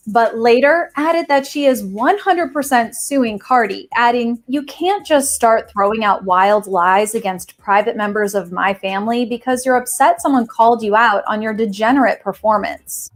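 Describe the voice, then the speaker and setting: A woman's voice: on the high side, normal speed, hardly any pauses. A woman presenting entertainment news in a scripted narration style.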